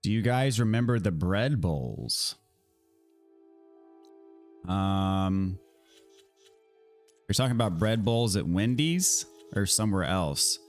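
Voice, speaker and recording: A man with an American accent chatting casually to viewers while he plays a video game, his voice a little raspy from a scratchy throat. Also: lowish voice, animated, slow pace, pauses often, faint music behind. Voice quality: slightly raspy. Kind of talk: casual livestream chat answering viewers while gaming.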